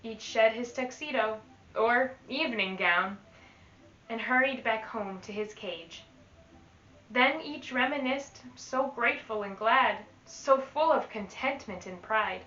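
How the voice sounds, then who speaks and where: Bright-voiced woman reading slowly to children. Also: fairly high pitch. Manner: expressive, instructional, addressing young children. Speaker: teaching artist reading to children. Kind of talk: slow, enunciated children's read-aloud. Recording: echoey room, slightly dull sound.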